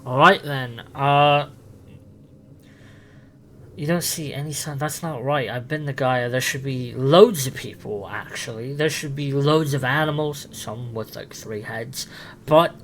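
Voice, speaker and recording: A man's voice: medium pitch, a little slow, fairly bright tone, slight background noise. A man casually improvising a roleplay conversation, hesitant and rambling. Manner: hesitant, rambling, with many "like" fillers. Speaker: player roleplaying with an AI character.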